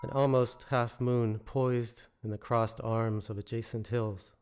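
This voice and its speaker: A man reciting his own poetry in a measured, deliberate way, pausing between phrases. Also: medium pitch, steady tone, music in the background. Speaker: poet reading own work. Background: musical score.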